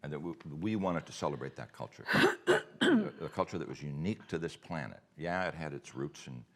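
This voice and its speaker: A middle-aged man with a Cape Breton accent, a festival co-founder telling stories in a relaxed live chat. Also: extremely low pitch, fairly quick. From Cape Breton, Nova Scotia, Canada. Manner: animated storyteller, acts out quoted dialogue.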